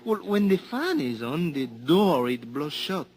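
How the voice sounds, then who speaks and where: Botones mexicano charlatán. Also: male voice, fairly high pitch, big pitch swings, measured pace, darkish tone. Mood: wheedling. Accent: Mexican Spanish. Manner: eager, wheedling salesman patter. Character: cheerful hustling Mexican hotel bellboy. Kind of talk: 1950s radio drama acting. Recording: hissy old radio recording.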